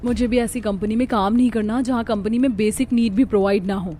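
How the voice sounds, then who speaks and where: A woman's voice: on the high side, steady tone, a little fast, dark tone, a little hiss or hum, close to an everyday voice. A woman voicing a fussy young daughter-in-law who complains indignantly in a Hindi cartoon story.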